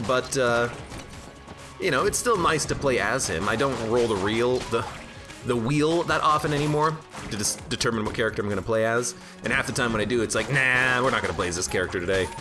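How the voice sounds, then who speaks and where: Man, casual game commentary, stuffed-up voice, rambling, chatty, animated pitch, music and gunshots behind. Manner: rambling, self-joking, chatting to viewers. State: stuffed up from allergies. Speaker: game streamer. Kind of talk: casual let's-play commentary. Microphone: own desk microphone. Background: game music and gunfire.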